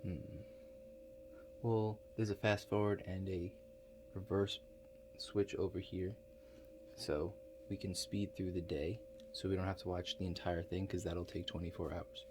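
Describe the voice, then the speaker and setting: A man playing a low-voiced, hesitant ASMR gadget operator. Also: little pitch movement, noisy background. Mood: absorbed, tentative. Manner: halting, understated, mumbled asides with many pauses. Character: awkward, hesitant male operator of a future-viewing contraption. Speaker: ASMR roleplay performer. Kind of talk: soft-spoken ASMR roleplay.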